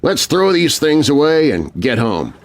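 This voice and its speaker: A man's voice: on the high side, sing-song, unhurried, voice pushed up, rough recording. A man voicing a stern, earnest eldest teenage brother who warns ominously in a children's spooky story. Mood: bossy. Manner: stern, hauntingly warning.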